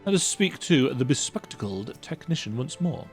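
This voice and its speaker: A man's voice: mid-range voice, big pitch swings, moderate pace, faint music behind. A man giving rambling, chatty commentary as he plays through a video game. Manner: rambling, self-interrupting, chatty. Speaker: gaming YouTuber. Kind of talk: casual game playthrough commentary.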